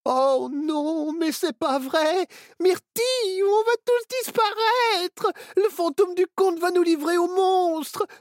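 A high, shaky boy's voice from a children's storybook, jumpy and panicky, tripping over his words. A man puts him on, reading slowly, in a dry, close sound. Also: big pitch swings, dry recording, exaggerated voice. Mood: panicking. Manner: stammering, panicky. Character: frightened little boy, jumpy and timid.

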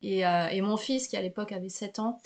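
A middle-aged French woman hosting a relaxed interview, talking with many hesitations and restarts. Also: medium pitch, fairly quick. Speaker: podcast host. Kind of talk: casual conversational interview.

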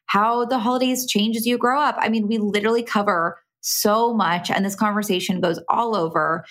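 A woman's voice: medium pitch, a bit bright. Chatty woman host with a scratchy voice. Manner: bubbly, chatty. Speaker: podcast host. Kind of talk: casual podcast chat.

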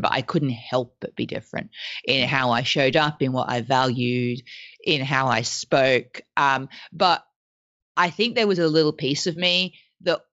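A woman's voice: deep voice, a bit bright, dry recording, dull audio. A woman from Australia, a podcast guest speaking candidly and reflectively about herself, with a self-deprecating manner. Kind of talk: conversational interview.

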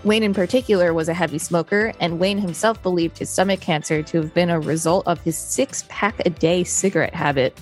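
Brief: female podcast co-host, lower pitch, dry skeptical reader, faint music bed. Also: fairly quick, hardly any pauses. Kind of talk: reading aloud and casual chat.

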